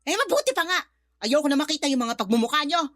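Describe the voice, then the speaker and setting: Quarrelsome aunt, fast and swooping. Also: female voice, high-pitched, very animated, a bit bright, no room sound, clean studio sound. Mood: offended. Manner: indignant, scornful, melodramatic. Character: brazen, self-righteous, quarrelsome older aunt. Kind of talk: radio drama acting.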